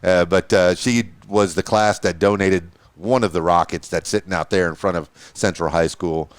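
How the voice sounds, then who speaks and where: A man's voice: low voice, fairly even intonation, normal speed, no echo. A man in his fifties hosting a podcast, rambling and stumbling over words as he chats. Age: 50s. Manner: rambling, stammers and repeats words. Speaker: podcast host. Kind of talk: casual interview chat.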